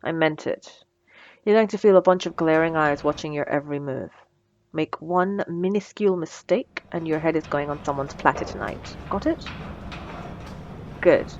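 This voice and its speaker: A woman's voice: deep voice, normal speed, darkish tone, muffled recording, a little hiss or hum. A woman playing a wry, menacing supervillain in a scripted audio roleplay, teasing and condescending, with cool threats. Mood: stern, threatening. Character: wry, menacing female supervillain mentoring a captive assistant.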